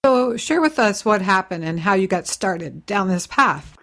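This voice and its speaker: A woman's voice: medium pitch, expressive, measured pace, fairly bright tone. A woman hosting an interview show, asking sympathetic and curious questions of her guests. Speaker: talk show host.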